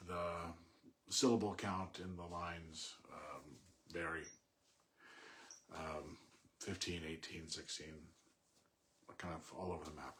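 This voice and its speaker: A man reading poetry and commenting on it informally in a measured, thoughtful way. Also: deep voice. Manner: halting, thinking aloud with self-corrections. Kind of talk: poem recitation and informal unscripted commentary.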